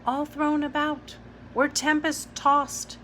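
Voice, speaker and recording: A woman's voice: high-pitched, flat delivery, slow pace, sharp tone, slight background noise, pitched above the performer's own voice, heavily acted. A woman reading a storybook part as a fierce, stubborn young girl, defiant and insistent. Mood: curious, earnest.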